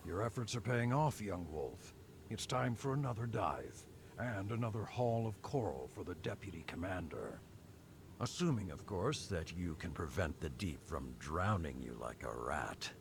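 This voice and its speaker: An actor playing an old, weathered male warrior lord who speaks in a grave, measured way. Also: sits low, lively intonation, creaky. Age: older. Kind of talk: game cutscene dialogue. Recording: noisy game audio.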